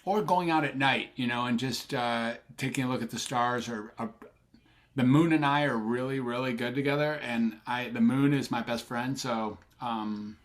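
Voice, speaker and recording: Older male psychiatrist guest, casual rambling chat, warm enthusiasm, lots of ums. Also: medium pitch, moderate pace. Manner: rambling, enthusiastic, many fillers and restarts. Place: Sacramento, USA.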